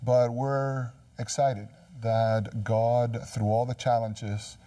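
A middle-aged man from Cuba, a studio guest, speaking in a measured way with hesitant fillers. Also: mid-range voice, level tone, dark tone, dry recording, recorded from a distance. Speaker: guest, program manager. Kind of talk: casual TV panel chat. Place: TV studio set, seated.

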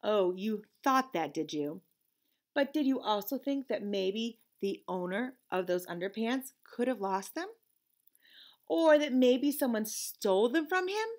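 A woman voicing a storybook lizard's bossy, scolding conscience, interrogating with drawn-out suspicion. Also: fairly high pitch, lively intonation, darkish tone. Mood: stern, bossy.

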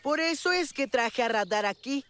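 A woman's voice: sits high, lively intonation, moderate pace, fairly bright tone, dry recording. A woman voicing an eager, cocky young schoolboy in a children's cartoon, with a Latin American Spanish accent and an insistent, boastful manner. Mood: eager, confident. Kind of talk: animated children's series dubbing.

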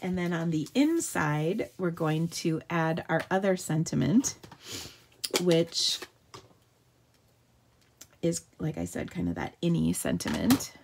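Host, female: upbeat, chatty craft demonstrator, lowish voice, faint background hiss. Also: sing-song, takes it slowly, creaky, long pauses. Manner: chatty and upbeat, answering viewer questions while working. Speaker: card-making demonstrator. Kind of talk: live craft tutorial. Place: home craft table.

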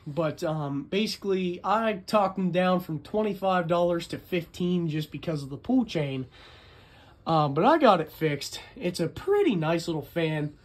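A man talking casually and unscripted as a hobbyist vlogger, in a chatty, rambling, enthusiastic way. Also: high-pitched. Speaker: vlogger, ceiling fan collector. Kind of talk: casual unscripted show-and-tell video narration.

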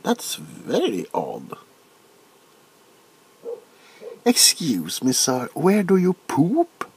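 Man playing a breathy, flustered doctor, wildly swooping pitch. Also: wildly swooping pitch, takes it slowly, heavily acted. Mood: defensive, baffled. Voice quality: soft-spoken, breathy. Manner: hesitant, repetitive, theatrical, often dropping into whispers. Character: nervous, flustered, eccentric male doctor examining a monster. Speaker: ASMR performer. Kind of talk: soft-spoken comedic ASMR doctor roleplay. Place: small cluttered room at home. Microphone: close to the camera.

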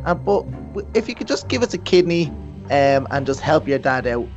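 A man's voice: fairly high pitch, animated, moderate pace, a little mellow, natural voice. A man playing a cocky but wimpy, defensive young prince in a children's game roleplay. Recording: dull lo-fi mic, faint music.

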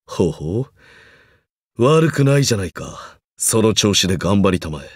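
A man's voice: lowish voice, sing-song, unhurried, husky, no room sound, clean studio sound, full character voice. An actor playing a stern, pompous magecraft professor in a mobile game, speaking formally and condescendingly while grudgingly encouraging his student. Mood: measured approval. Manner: formal, condescending lecturer's delivery. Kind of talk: scripted game character lines.

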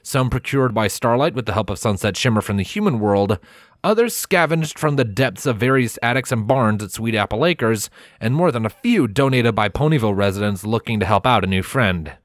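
Low-voiced man narrating a story, dry studio sound. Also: expressive, a little fast, dry recording. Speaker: audiobook-style fan fiction narrator.

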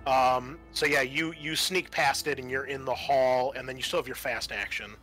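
A man's voice: mid-range voice, fairly even intonation, normal speed, pushed and bright, soft background music, low-quality recording. A man narrating a tabletop roleplaying game as game master, casual and thinking aloud as he goes.

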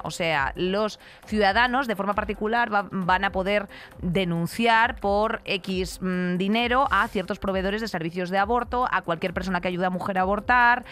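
A young woman with a Castilian accent and a very bright, forward voice at medium pitch. She co-hosts a studio podcast, commenting in an animated, emphatic, colloquial way, close on the mic. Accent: Castilian Spanish from Spain. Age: young adult. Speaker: podcast co-host. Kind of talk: opinionated conversational commentary. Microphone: close broadcast mic on an arm.

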